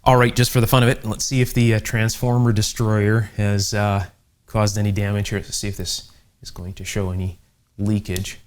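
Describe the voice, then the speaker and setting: A man with a fairly low voice hosts a hands-on repair lesson. He explains things methodically and without hurry, slipping in conversational asides as he works at the bench. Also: level tone, moderate pace. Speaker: host, electronics repair instructor. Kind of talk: hands-on workbench demonstration, explanatory. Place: indoor electronics workshop.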